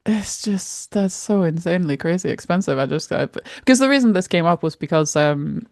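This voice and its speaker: A woman with a slightly low voice co-hosts a casual gaming podcast. She speaks informally and bluntly, with exasperated emphasis. The recording is very dry, free of room sound. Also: lively intonation, moderate pace, vocal fry, darkish tone, no echo. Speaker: podcast co-host.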